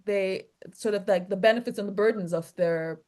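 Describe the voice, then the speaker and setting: A woman's voice: medium pitch, normal speed, a little mellow. A woman academic with a Jamaican accent, in an academic discussion, hesitantly searching for words and repeating phrases. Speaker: academic discussant asking questions.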